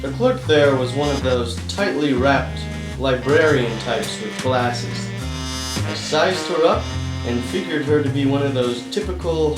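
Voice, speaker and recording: A man's voice: medium pitch, recorded from a distance, close to an everyday voice. A man playing a wisecracking hard-boiled private eye, roomy sound. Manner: wisecracking, slangy tough-guy patter. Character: hard-boiled, cynical male private investigator, money-minded. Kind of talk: noir radio-play narration and dialogue.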